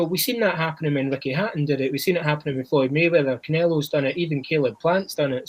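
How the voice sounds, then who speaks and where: A man's voice: fairly high pitch, rough recording. A Scottish man on a boxing podcast, chatting casually with a lot of restarts and "you know"s. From Scotland. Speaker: boxing podcast panelist named Chris. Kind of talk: casual chat.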